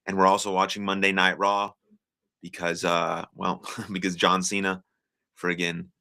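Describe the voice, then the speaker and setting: A man's voice: deep voice, level tone, moderate pace, gravelly, sharp tone. An American man hosting a live stream, chatting casually with viewers and reading their comments aloud, friendly and encouraging with lots of filler words. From United States. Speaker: livestream host.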